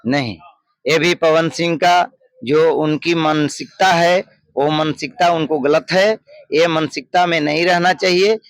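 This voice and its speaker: Male local interviewee, creaky higher voice, emphatic and repetitive opinion-giving. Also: measured pace, no echo.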